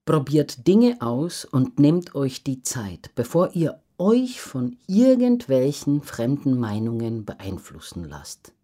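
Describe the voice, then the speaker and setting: A woman's voice: very deep voice, big pitch swings, slow pace, hardly any pauses, dark tone. A woman giving earnest, articulate answers as an interview guest. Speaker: interview guest, professional circus acrobat.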